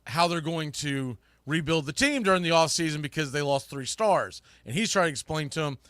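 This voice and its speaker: Bright, forceful male sports podcast host. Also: a little high, lively intonation, a little fast, no echo, clean studio sound. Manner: opinionated, informal, mildly salty. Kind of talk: casual live sports talk.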